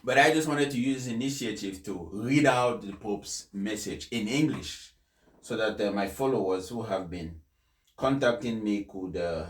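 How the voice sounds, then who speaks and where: A man who speaks as a presenter reading aloud to followers, in a deliberate, emphatic and formal way. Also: fairly low pitch. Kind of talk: formal read-aloud address with informal introduction. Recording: echoey, reverberant room.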